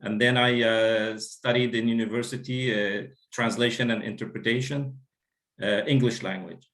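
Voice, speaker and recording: A man in his fifties, a native Arabic speaker from Iraq, speaking in a measured, halting way full of pauses and fillers. His voice sits at an ordinary mid pitch. The sound bounces around an echoey room. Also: steady tone, fairly bright tone. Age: 50s. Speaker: guest. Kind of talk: interview.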